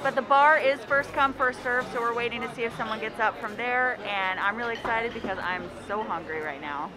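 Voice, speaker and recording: A woman's voice: a little high, a little fast, rough recording. A woman in her thirties talking casually to camera as a food and travel vlogger. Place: busy indoor cafes and restaurants. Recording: loud busy restaurant chatter behind.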